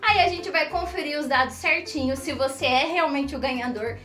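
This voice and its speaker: A young Brazilian woman presenting a live children's show, animated and exclamatory, talking directly to her young viewers. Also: sits high, normal speed. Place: decorated indoor studio set, standing. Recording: roomy echo, music behind.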